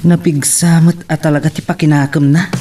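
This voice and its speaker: A man's voice: fairly high pitch, little pitch movement, a little fast, music in the background, natural voice. A man with an Ilocano accent playing a musing storyteller in a radio drama.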